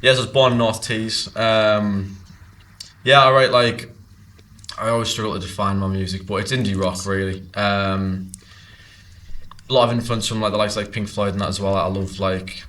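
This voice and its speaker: A man's voice: fairly low pitch, little pitch movement, moderate pace, fairly bright tone. A young man from Teesside, a guest singer-songwriter talking casually in a pub, rambling and full of ums and likes. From Teesside, North East England.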